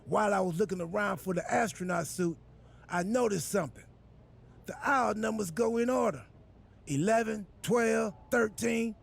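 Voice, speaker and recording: An actor playing a quirky, self-important general manager in a wrestling video game, rambling and absurdly literal in a gravelly voice. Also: male voice, normal speed. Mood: upbeat, self-important. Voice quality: gravelly, high husky voice.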